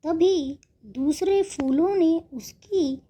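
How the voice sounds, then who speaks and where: A woman's voice: very high voice, drawn-out words, dark tone. A woman narrating a scripted moral story for children.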